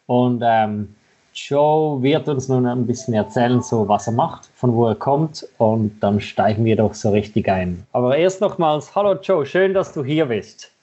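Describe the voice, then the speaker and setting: A man's voice: medium pitch, lively intonation, measured pace, mellow. A man hosting a podcast, interviewing a guest in a conversational style. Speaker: podcast host.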